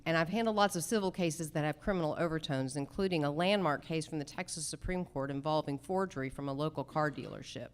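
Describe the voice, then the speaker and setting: A woman's voice: low voice, fast talker, hardly any pauses, clear, resonant tone. A woman candidate giving emphatic, punchy answers at a political forum.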